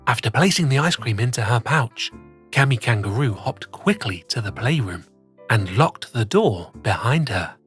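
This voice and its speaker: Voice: medium pitch, very animated, moderate pace, faint music behind. A children's story host presenting and reading a storybook expressively, in a playful way that speaks straight to young listeners.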